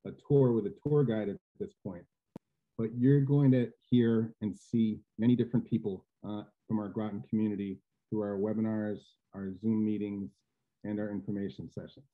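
A middle-aged man hosting a school webinar, chatty and full of fillers and restarts. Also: lowish voice. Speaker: admissions host. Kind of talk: webinar introduction. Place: home living room, indoors. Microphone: video call webcam. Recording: tinny phone-like video call audio.

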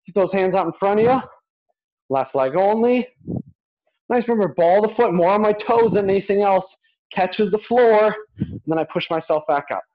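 A man's voice: sits high, lively intonation, normal speed. A young man coaching a workout as he moves, giving encouraging, short, repeated instructions. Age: young adult. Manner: physically active while talking. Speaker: fitness coach. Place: small indoor home gym. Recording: dull lo-fi webcam audio.

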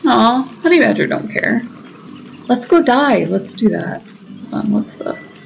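A woman's voice: a little high, sing-song, a little slow, gravelly, slight background noise, poor audio. A woman hosting a gaming video with casual commentary as she plays. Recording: faint game music behind. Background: game music and dialogue.

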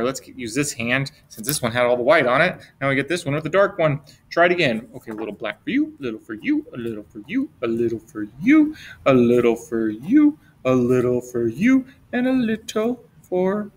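A middle-aged man reading a picture book aloud to young children in a playful, expressive way, drawing out words and posing simple questions. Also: fairly high pitch, normal speed. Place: indoors at a desk, webcam with book camera.

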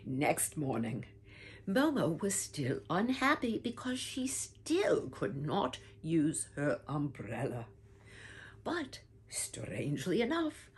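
An older woman reading a children's picture book aloud with theatrical whispers and swooping pitch, talking warmly to young listeners as "my dears". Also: breathy edge. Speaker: storybook reader. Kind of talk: expressive read-aloud for children. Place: home room with bookshelf, indoors.

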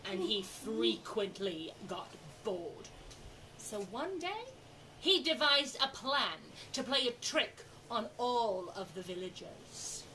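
Sentimental female children's theatre storyteller, acted by a woman, slow dramatic phrasing, highish voice, recorded from a distance. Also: sing-song, pushed and bright, exaggerated voice. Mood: storytelling. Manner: dramatic, drawn-out, deliberate phrasing. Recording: distant mic, faint background noise.

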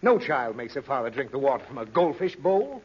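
A man's voice: mid-range voice, very animated, brisk, lightly acted. An actor playing a harried, exasperated father in 1930s radio comedy, blustering and whining. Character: harried, exasperated father, quick to threaten spankings. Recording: dull, noisy 1930s radio recording.

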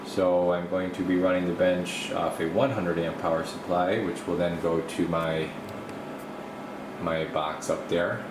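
Male video host, deepish voice, rambling informal delivery, thinking out loud in a roomy workshop with clear steady hum. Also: a little slow. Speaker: video host, radio repair technician. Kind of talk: casual workshop tour, talking off the cuff. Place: small indoor home workshop. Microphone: camera mic, speaker behind camera.

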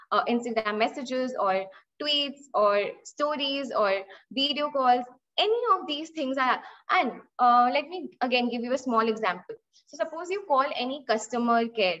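A woman's voice: a little high, fairly bright tone. A young Indian woman with an Indian English accent, teaching an online class on data analytics, explaining with examples, asking her students questions, and often repeating or restarting phrases. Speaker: webinar instructor. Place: indoor room, online session. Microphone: laptop mic on a video call. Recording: dull lo-fi video-call audio.

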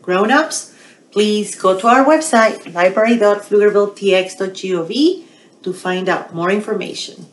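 A woman in her forties presenting storytime for young children, animated and full of questions to them. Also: medium pitch, moderate pace, a little hiss or hum. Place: small indoor room. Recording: roomy home recording.

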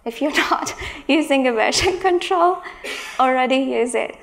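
A woman's voice: sits high, expressive, unhurried, vocal fry. A woman in her thirties giving a conference talk on stage, emphatic, with exasperated asides. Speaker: conference speaker. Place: large stage in a hall.

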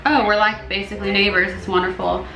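A woman's voice: mid-range voice, flat delivery, fast talker, vocal fry, a crowd in the background, natural voice. A young woman playing a polite, wordy middle-aged neighbour making an earnest complaint on a prank call. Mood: grateful, polite. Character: polite, put-upon 48-year-old neighbour mother complaining. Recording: roomy echo with music behind.

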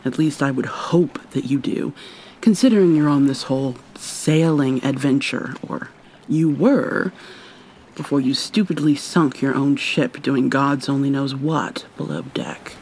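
A woman's voice: extremely low pitch, unhurried, a little mellow, a little hiss or hum, poor audio. A woman playing an irritable, haughty but secretly caring vampire mermaid in an ASMR roleplay, sardonic and formal with exasperated sighs. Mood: scolding.

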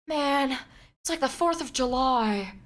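Lively, sweary woman streamer. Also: high-pitched, slow, noisy background. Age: 20s. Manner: animated, swears a lot, laughs often. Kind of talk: casual live commentary over a game. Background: game music and dialogue.

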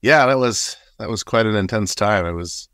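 Guest man, 40s, grew up in Nepal; animated, laughing storyteller on a dry, close webcam, slightly low voice. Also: normal speed, no echo. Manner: animated, laughs often, gestures broadly. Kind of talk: casual storytelling. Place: small room with paper screens. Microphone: video call webcam.

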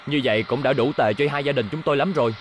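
A man dubbing a friendly, troubled young racing robot in a cartoon, gently explaining. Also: mid-range voice, very fast, a little hiss or hum, natural voice. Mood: rueful, explaining.

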